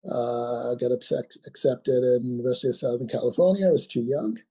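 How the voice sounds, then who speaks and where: Husky mellow man, about sixty. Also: mid-range voice, brisk. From Nigeria. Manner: hesitant, many ums and restarts. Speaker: guest, company founder. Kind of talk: casual interview talk.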